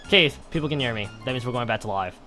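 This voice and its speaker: A man's voice: mid-range voice, expressive, very fast, sharp tone, faint music behind. A man commentating on a game stream and reading game text aloud in a rambling way, often stumbling and correcting himself. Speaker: live streamer.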